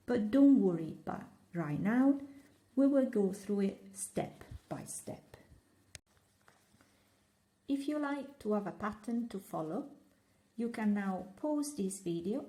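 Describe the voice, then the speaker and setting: A woman's voice: mid-range voice, roomy sound. A woman with an Italian accent from Italy, leading a step-by-step crochet tutorial in a playful, chatty way with small jokes. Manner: playful, chatty, gently joking instructions. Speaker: crochet teacher.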